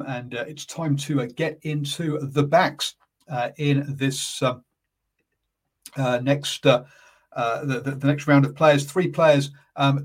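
A man with a New Zealand accent hosting a casual live rugby chat, calm and halting, filling pauses with ums and uhs. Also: on the high side. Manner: calm, soft-spoken. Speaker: rugby podcast host. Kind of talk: casual live-stream commentary.

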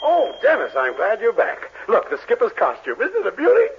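An actor playing an excitable, scheming middle-aged boss in 1940s radio comedy, theatrical and fussy. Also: male voice, high voice, a little fast, slightly rough, full character voice. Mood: fussy, pleading. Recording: muffled vintage 1940s radio recording.

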